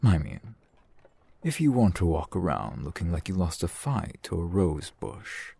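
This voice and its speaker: A man playing a genial, teasing, flirtatious fantasy shopkeeper in an ASMR roleplay, unhurried and wry. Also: wildly swooping pitch, warm tone, no room sound, heavily acted. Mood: playful teasing. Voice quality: low, gravelly, husky. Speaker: shopkeeper character in audio roleplay.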